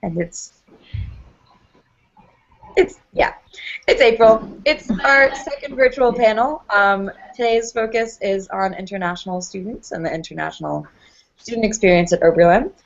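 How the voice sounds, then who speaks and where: A woman's voice: mid-range voice, normal speed, muffled recording. A woman introducing a student panel in an upbeat, self-deprecating way over a video call. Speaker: panel moderator.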